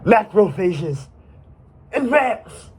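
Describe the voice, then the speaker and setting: A man's voice: fairly high pitch, unhurried, slight background noise. A man voicing a gallant military cell commander, barking clipped orders in an educational comedy skit. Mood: commanding, urgent.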